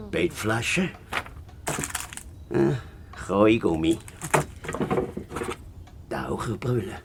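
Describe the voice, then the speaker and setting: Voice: mid-range voice, gravelly, very noisy, heavily acted. An actor playing a greedy, gloating big bad wolf in a children's radio play, grumbling to himself. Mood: gloating, smug.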